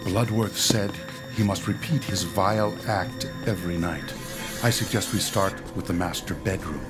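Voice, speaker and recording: A man's voice: deep voice, normal speed, close to an everyday voice. A man playing a dignified, pedantic doctor leading ghost hunters in a radio drama, speaking formally and precisely. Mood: calm, commanding. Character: dignified, pedantic male ghost-hunting doctor and team leader. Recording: music behind the voice.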